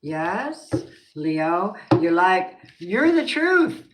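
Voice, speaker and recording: An older woman doing a live tarot reading, chatty and rambling, warmly calling her viewers babies. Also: lowish voice, very animated, extremely slow. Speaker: tarot reader. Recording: noisy home stream with clicks.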